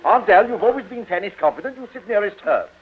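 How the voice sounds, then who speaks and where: A tinny, narrow-band vintage radio recording of a fond, grandiose father. His high-pitched male voice swoops widely. He blusters and declaims like a middle-aged man of the stage. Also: lively intonation, fast, slight background noise. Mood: excited bustling. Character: Charles, fond, flustered, grandiose theatre-manager father. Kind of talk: 1940s radio drama acting.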